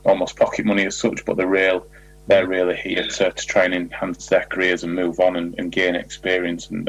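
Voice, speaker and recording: A man with a very low voice answers interview questions in a measured, explanatory way. His intonation stays flat and even, and the audio is dull and lo-fi, like a radio call. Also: vocal fry. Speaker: football manager.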